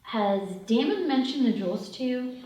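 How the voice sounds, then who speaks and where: A woman's voice: high voice, expressive, normal speed, dark tone. A woman playing a cautious, easily exasperated young student thief at a screenplay table read, in an echoey room. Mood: guarded. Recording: echoey room, distant microphone.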